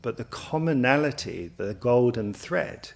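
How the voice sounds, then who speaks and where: A man speaking in a measured, formal way, as a college representative giving a promotional interview. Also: mid-range voice, lively intonation, gravelly. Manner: measured, formal, abstract wording.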